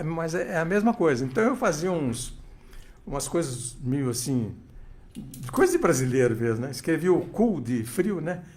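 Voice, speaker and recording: Older man telling lively stories. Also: on the high side, vocal fry. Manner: animated anecdotes, many restarts and quoted dialogue. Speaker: interview guest, radio veteran. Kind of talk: casual storytelling interview.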